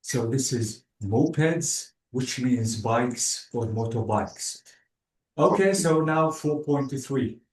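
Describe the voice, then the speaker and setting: A man teaching an English class online, speaking slowly and patiently and praising the student often. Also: mid-range voice, animated, darkish tone. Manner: slow, patient, spells out word endings, praises with "bravo". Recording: echoey, distant room sound.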